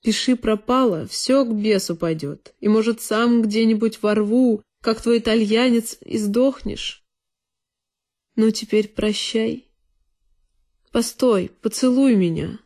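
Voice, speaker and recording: A woman's voice: a little high, slow, darkish tone. A woman voicing a sentimental, stubborn male landowner in an audio play, earnest, insistent and fatherly. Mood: fatherly warning. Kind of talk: dramatic literary monologue in audio play.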